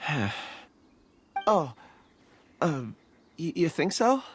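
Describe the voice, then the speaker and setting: Mild young guy for a game, low pitched, musing and kinda hesitant. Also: sing-song, very slow, heavy background noise, full character voice. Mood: uneasy, hesitant. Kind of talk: video game character acting.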